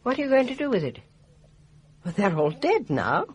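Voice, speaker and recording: An actress playing a blunt, defensive older village nurse with a British accent in a radio drama. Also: female voice, on the high side, big pitch swings, normal speed, a little hiss or hum. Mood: protesting. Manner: blunt, guarded, indignant.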